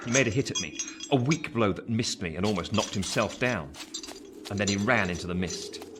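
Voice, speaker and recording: Radio play narrator, male actor: low English voice, literary, measured, wryly recalling childhood; noisy track. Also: animated, lightly acted. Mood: tense. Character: grown man wryly recalling his fearful boyhood. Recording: noisy home recording.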